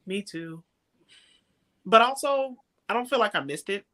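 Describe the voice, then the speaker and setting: A woman's voice: mid-range voice, lively intonation, unhurried, sharp tone. A woman chatting casually about books on a live show, speaking colloquially and with emphasis. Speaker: book readalong live show host. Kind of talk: casual chat.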